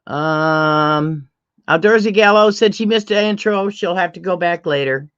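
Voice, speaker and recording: A middle-aged woman with a deep voice, hosting a casual livestream chat, chatty and laughing often as she reads out comments. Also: measured pace, clear, resonant tone, dull audio. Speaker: livestream host.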